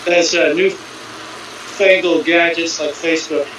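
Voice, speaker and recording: A man's voice: a little high, steady tone, slow, very echoey, far from the mic, noisy background. A man in his sixties from California, chatting casually about cycling and skiing with dry, self-mocking humor. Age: 60s. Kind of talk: casual chat.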